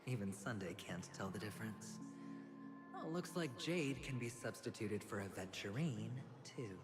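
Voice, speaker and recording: Guy doing a cool guarded gambler from a video game, lowish voice, terse and sardonic, loud music under it. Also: lively intonation, moderate pace, close to an everyday voice. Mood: cool, defiant. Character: guarded, cool-headed male gambler confronting his inner double.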